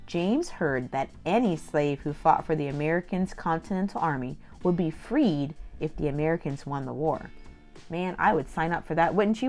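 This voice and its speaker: A woman with a slightly low voice reads aloud to young children. She is expressive and exclamatory, talking straight to her listeners as a storytime host. Faint music plays behind her.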